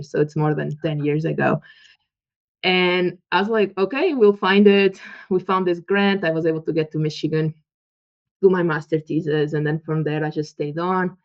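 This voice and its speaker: Portuguese-accented woman telling stories animatedly. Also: low-pitched. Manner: animated storyteller, acts out quoted dialogue, laughs often. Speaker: guest researcher. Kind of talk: casual interview chat. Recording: muffled lo-fi audio.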